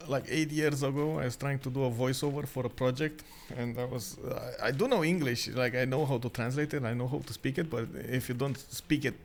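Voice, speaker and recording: A man from Romania with a Romanian accent, hosting a stream and commentating a strategy game in chatty, halting talk that breaks into frequent laughs. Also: mid-range voice, fairly even intonation, slight background noise. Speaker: esports caster and stream host.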